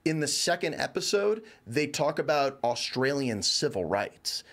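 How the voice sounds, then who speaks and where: A man's voice: mid-range voice, very animated, a little slow, fairly bright tone. A man giving a casual, conversational review as a show's reviewer, rambling informally. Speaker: reviewer on a show.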